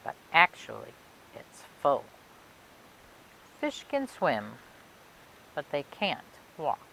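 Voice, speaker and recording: A woman reading a children's picture book aloud for a library storytime, expressively and phrase by phrase with emphatic pauses. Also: low-pitched, wildly swooping pitch, fairly bright tone, low-quality recording. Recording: clear background noise and hiss.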